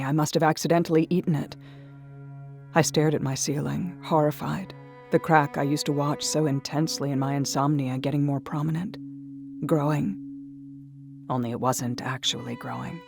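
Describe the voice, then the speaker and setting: A woman reading a first-person horror story in a hushed, dreamy way that slips into whispers. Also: deep voice, vocal fry. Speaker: narrator. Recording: soft music behind.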